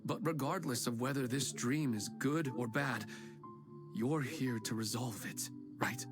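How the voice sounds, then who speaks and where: An actor playing a humble, sincere middle-aged father who talks in a gentle, plain-spoken way, over game music. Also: medium pitch, unhurried, close to an everyday voice. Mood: earnest. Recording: game audio with music behind.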